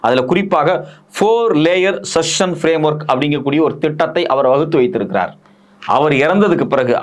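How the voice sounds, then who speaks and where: A man with an Indian Tamil accent giving news analysis, explaining emphatically with repeated phrases and rhetorical questions. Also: on the high side. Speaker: political commentator.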